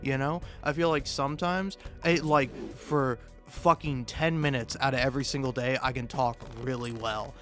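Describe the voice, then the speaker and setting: A man's voice: medium pitch, steady tone, a bit bright. A man with a mid-range voice talks straight to the listener in a loose, improvised gaming-video style. He rambles, stalls and cuts himself off, swearing casually and putting himself down for comic effect. Music plays behind him. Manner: rambling, halting and self-interrupting. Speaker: video game YouTuber. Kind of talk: improvised, self-deprecating comic intro monologue.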